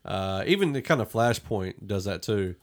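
A man's voice: on the low side, expressive, moderate pace. A man hosting a casual movie review podcast, talking in an opinionated, conversational way. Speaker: podcast host.

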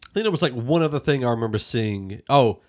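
A man's voice: medium pitch, expressive, quick pace. A man hosting a podcast in a casual, rambling, informal group chat about a film. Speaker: podcast host.

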